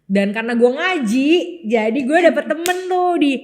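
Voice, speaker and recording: A young adult woman with a Jakarta Indonesian accent chatting casually as a podcast host, animated and slangy, dropping in English phrases. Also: sits high, moderate pace. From Jakarta area, Indonesia. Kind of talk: casual nostalgic chat.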